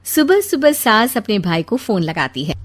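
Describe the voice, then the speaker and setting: A woman's voice: mid-range voice, big pitch swings, normal speed. A woman storyteller delivering scripted narration for a comic tale.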